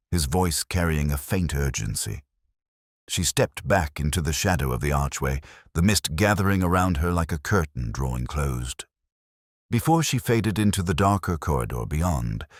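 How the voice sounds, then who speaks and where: Very deep-voiced man narrating a detective story. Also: slightly rough, no echo, clean studio sound. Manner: measured, reflective literary delivery. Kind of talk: scripted first-person detective story narration.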